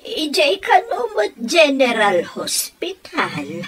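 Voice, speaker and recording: A woman's voice: high voice, wildly swooping pitch, slow, a bit bright, a little hiss or hum, full character voice. A woman playing a villager in a comedy radio drama, with an Ilocano Filipino accent. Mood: informative. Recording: music bed behind the voices.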